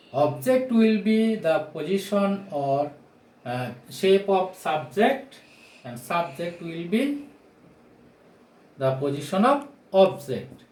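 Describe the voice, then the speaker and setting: A man in his forties, a non-native English speaker, teaching grammar at a whiteboard in a deliberate, projected way, repeating key phrases and asking rhetorical questions. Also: a little high, a little mellow, slight background noise. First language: not English. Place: small indoor room with bare walls, at a whiteboard. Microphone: camera or phone mic a few steps away. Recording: roomy echo, distant camera microphone.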